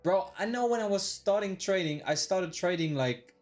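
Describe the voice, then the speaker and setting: A man's voice: high-pitched, expressive, moderate pace, faint music behind. Young man chatting casually on a live stream. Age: 20s. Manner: slangy, swears often, says "bro" a lot; rambling with restarts, replays his past thoughts aloud. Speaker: day trader live-streaming.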